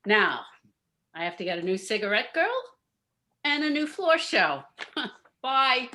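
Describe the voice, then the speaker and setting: A woman's voice: fairly high pitch, very animated, a little slow, sharp tone, exaggerated voice. A woman playing a scheming, gloating nightclub boss in a campy noir radio play. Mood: smug, satisfied. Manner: theatrical, gloating. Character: scheming brassy female nightclub boss Sal, noir gang leader.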